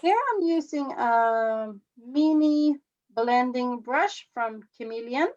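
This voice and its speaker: A woman from Sweden with a Swedish accent, giving an informal demonstration as a face painting instructor, with halting phrasing. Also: on the high side, darkish tone. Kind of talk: informal live demonstration with commentary. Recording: slightly dull, lo-fi webinar audio.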